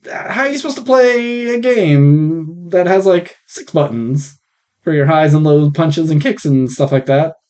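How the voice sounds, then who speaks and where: A man co-hosting a casual film podcast, speaking in a blunt, opinionated way with casual swearing, recorded on a rough mic. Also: on the high side, a little slow, darkish tone, muffled recording. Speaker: podcast co-host.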